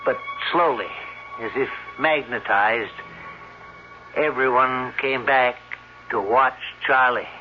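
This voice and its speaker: Radio storyteller, played by a man: shrewd small-town type, slow and suspenseful, slightly dull vintage sound. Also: medium pitch, soft background music, lightly acted. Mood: suspenseful, grim. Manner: deliberate, suspenseful, explanatory. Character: shrewd, unhurried townsman telling a grim tale. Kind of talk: old-time radio drama storytelling. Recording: dull old radio recording.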